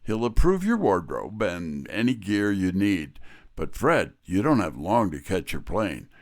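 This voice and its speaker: A man's voice: sits low, very animated, a little slow, vocal fry, exaggerated voice. A man playing a wry, businesslike detective's assistant, narrating and talking in hard-boiled style for a detective drama. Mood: brisk, instructing. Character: brisk, wry male detective's right-hand man. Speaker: narrator and character actor.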